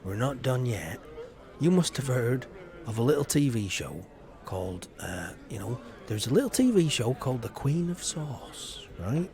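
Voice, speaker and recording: A man's voice: medium pitch, big pitch swings, normal speed, dark tone. A man streaming a farming game, talking to chat in a put-on rustic farmer voice, rambling and joking. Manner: puts on a rustic backwards-farmer voice; jokey and rambling, greets chatters by name. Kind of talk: chatty in-character streaming with chat.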